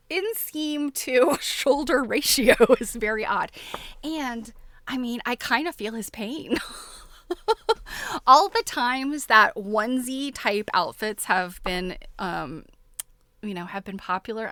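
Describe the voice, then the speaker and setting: Bright-voiced woman chatting on a livestream. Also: on the high side, no room sound, studio quality. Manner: chatty, giggly, expressive. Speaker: livestream host. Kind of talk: casual livestream chat to viewers.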